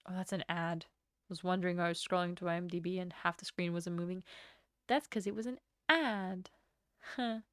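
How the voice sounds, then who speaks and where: A woman's voice: fairly low pitch, a little fast, fairly bright tone, smooth, no room sound, clean studio sound. A young woman from Latvia chatting casually on a live stream, rambling from topic to topic and swearing freely. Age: young adult.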